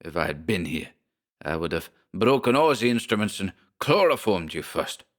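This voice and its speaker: A man's voice: a little high, huge pitch swings, moderate pace, sharp tone, pitched above the performer's own voice, exaggerated voice. A man voicing a furious little German scientist with a German accent and a shrieking, explosive delivery.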